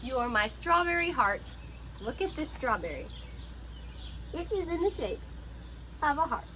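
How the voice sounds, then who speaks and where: Woman, high voice, teacher doing a children's read-aloud with simple explanations, noticeable background noise. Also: sing-song, measured pace, bright, forceful tone.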